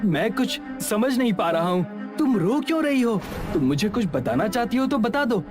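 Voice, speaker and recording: A man's voice: high-pitched, very animated, moderate pace, music in the background. A man voicing a worried, earnest elder brother seeking justice in a horror story. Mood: astonished, concerned. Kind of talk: horror storybook character acting.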